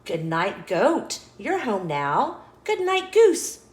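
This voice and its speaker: A woman's voice: medium pitch, huge pitch swings, slow pace, reverberant. A woman voicing a small, kind, welcoming truck from a children's storybook, in a cheerful, bouncy manner. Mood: fond farewell.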